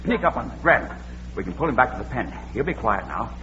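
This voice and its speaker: A man's voice: medium pitch, fast, close to an everyday voice. An actor playing a blustery, folksy farmer who fusses over his bull in a 1940s radio comedy. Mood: commanding. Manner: folksy, excitable. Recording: dull, noisy old radio recording.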